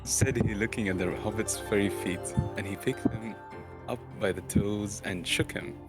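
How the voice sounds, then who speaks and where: A man reading a fantasy novel aloud as dramatic storybook narration, a little halting. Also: lowish voice. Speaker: streamer reading an audiobook. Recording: music behind the voice. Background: background music.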